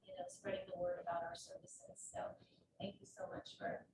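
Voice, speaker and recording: A woman's voice: on the low side, huge pitch swings, a little fast. A woman from Puerto Rico introducing herself on a panel as a community outreach worker, friendly and using many fillers, recorded from a distance in a roomy hall. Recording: roomy, distant mic with room noise.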